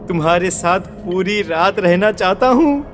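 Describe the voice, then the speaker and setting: A man voicing a creepy, possessed village husband in a horror story, wheedling and amorous, with halting words and questioning hums. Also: high voice, expressive, fairly bright tone, slight background noise. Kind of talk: horror audio story acting. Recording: long reverb with music behind.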